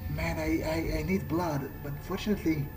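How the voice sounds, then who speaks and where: A man with a somewhat high voice narrates his gameplay in character. He improvises hesitantly, as if thinking aloud, and curses casually. Game soundtrack music plays clearly behind him. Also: fairly even intonation, normal speed, poor audio. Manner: hesitant, muttering to himself, sweary. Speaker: let's player.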